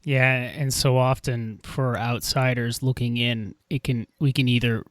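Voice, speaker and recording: A man's voice: mid-range voice, fairly even intonation, measured pace, no echo, studio quality. A man hosting a podcast, asking questions in a conversational manner. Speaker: podcast host, interviewer. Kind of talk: casual interview questions.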